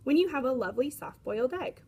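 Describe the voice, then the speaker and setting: A woman's voice: high-pitched, lively intonation, fast, dark tone, slightly rough, full character voice. A woman voicing a hearty, proper father in a children's storybook, speaking somewhat formally. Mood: questioning.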